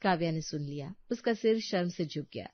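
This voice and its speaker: A woman's voice: lowish voice, animated, fairly quick, dry recording. Woman storyteller, dry muffled recording. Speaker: narrator. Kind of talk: scripted storytelling narration.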